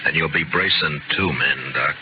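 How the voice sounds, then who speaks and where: A muffled, hissy old radio recording of a deep-voiced frontier marshal. He is steady and stern, with dry, laconic sarcasm in the manner of a 1950s western. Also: moderate pace. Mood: firm, measured.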